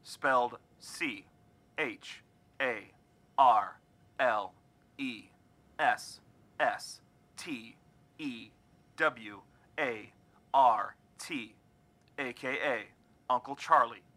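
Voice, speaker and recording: Man acting a bright, officious hotline operator. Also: medium pitch, drawn-out words, pauses often, exaggerated voice. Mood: impersonal, officious. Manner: clipped, officious. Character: formal, impersonal game hotline operator. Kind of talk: audio drama acting.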